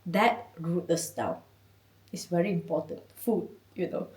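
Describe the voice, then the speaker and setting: A woman from Malaysia with a Malaysian accent, chatting as a guest in an interview, animated and often laughing. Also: fairly low pitch, unhurried. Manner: animated, laughing, chatty with many "you know"s. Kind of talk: casual interview. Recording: echoey room, mic at a distance.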